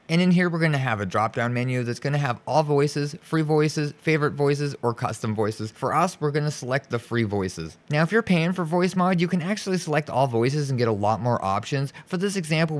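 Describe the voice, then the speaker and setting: A man hosting a software tutorial, explaining each step in an informal, instructional way. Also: fairly high pitch. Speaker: tutorial host. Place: indoors, voice-over on a screen recording.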